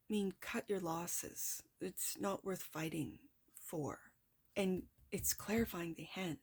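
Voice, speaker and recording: Woman, tarot reader, soft voice, chatty and reflective, mid-range pitch. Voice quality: soft-spoken. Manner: chatty, reflective, addresses the viewer directly. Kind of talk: casual tarot reading to viewers.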